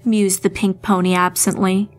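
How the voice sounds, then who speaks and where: A woman narrator reading a scripted horror story in a measured storytelling manner. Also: mid-range voice, flat delivery. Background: music.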